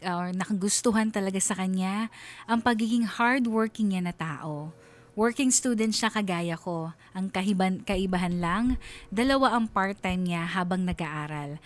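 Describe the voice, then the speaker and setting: A Filipino woman narrates a scripted radio story. Her voice sits at a medium pitch, and music plays softly behind her storytelling. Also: normal speed. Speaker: radio drama narrator reading a listener's story. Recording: music bed under the voice. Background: background music.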